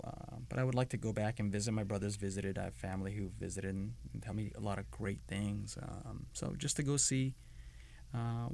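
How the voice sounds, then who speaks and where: Low gravelly man, halting answers. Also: a little mellow. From Iran. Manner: hesitant, many ums and uhs, restarts. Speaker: interviewee. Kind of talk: casual interview answers.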